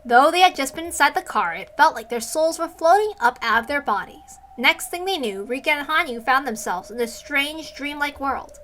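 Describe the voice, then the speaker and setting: A woman's voice: high-pitched, lively intonation, moderate pace, few pauses, pushed and bright, slight background noise. A woman reading a visual novel aloud as dramatic scripted narration.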